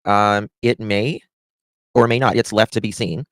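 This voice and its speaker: A man's voice: lowish voice, fairly even intonation, measured pace, a bit bright, dry recording. Chatty man, dry close sound. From Southern United States. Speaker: livestream host. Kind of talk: casual livestream commentary.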